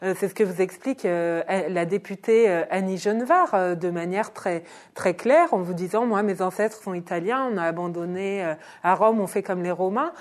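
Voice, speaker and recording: A woman's voice: on the low side, moderate pace. A woman interviewing an author, summing up his points with frequent hesitations. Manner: summarizing, hesitant fillers. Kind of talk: radio interview.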